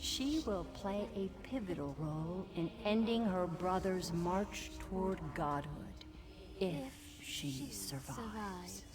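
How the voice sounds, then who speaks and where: Slow, imperious goddess. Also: female voice, lowish voice, lively intonation. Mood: grave, commanding. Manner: formal, grave, measured delivery. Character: imperious female goddess, stately, disdainful, commanding. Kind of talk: fantasy video game acting.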